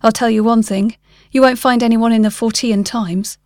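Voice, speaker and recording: A woman's voice: fairly high pitch, steady tone, moderate pace, darkish tone, no room sound, natural voice. A woman voicing a paranoid man in his late fifties, an urgent, emphatic conspiracy theorist in a short story. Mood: intense, urgent. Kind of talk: short story character dialogue.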